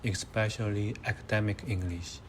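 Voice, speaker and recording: An eighteen-year-old man from China with a Mandarin accent, answering interview questions in a measured, hesitant way. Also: fairly low pitch, fairly even intonation, a little hiss or hum. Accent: Mandarin Chinese. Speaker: interviewee, high school student.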